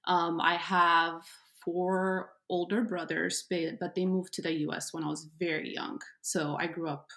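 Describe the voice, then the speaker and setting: A woman from Ethiopia telling her story as an interview guest, animated and laughing, with frequent fillers. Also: on the low side, measured pace, few pauses. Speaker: guest, entrepreneur.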